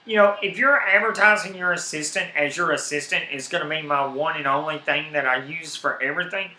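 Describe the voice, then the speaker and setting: A man's voice: fairly high pitch, brisk, roomy sound, rough recording. Southern man ranting on a live stream. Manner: rambling, animated, repeats words when worked up. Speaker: YouTube streamer. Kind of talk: casual live-stream chat.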